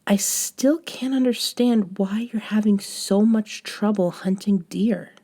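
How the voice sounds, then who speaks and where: A woman playing a patient, gently chiding young friend in a soft-spoken scripted roleplay audio. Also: medium pitch, slow pace. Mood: patient, teasing. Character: young woman, patient bossy friend coaching a reborn vampire. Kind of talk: scripted roleplay audio, one-sided conversation.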